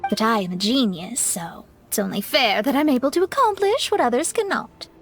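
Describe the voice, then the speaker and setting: A woman's voice: on the high side, lively intonation, fairly quick. A woman playing a proud, easily flustered young astrologer in a video game, with a haughty, self-assured manner.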